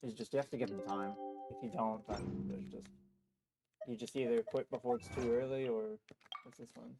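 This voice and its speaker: A man's voice: mid-range voice, steady tone, moderate pace, over music. A man giving casual live commentary as he streams a game, easygoing, with muttered asides.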